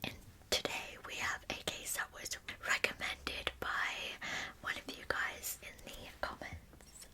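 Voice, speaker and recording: A woman speaking in a soft, unvoiced whisper as an ASMR host narrating a true crime story. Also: a little slow. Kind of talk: whispered ASMR storytelling, reading with chatty asides.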